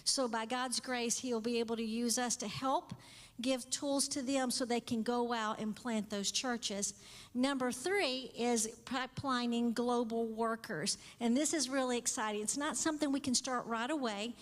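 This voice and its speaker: A woman's voice: a little high, normal speed. A woman in her fifties giving an earnest missions presentation to a church. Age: 50s. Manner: earnest, explanatory, steady. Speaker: missionary speaker. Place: church sanctuary. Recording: long church hall reverb.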